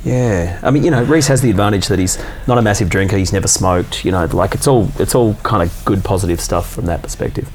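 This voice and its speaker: A man in casual conversation, telling a long story in a relaxed, halting way with many fillers and restarts. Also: low-pitched, a little hiss or hum. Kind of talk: casual chat.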